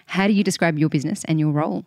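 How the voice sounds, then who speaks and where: A woman hosting a marketing podcast, upbeat and chatty, with a slightly croaky voice today. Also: low-pitched, rapid-fire, a little mellow, no echo, studio quality. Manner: upbeat, scripted intro then chatty questions. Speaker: podcast host. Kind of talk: podcast interview.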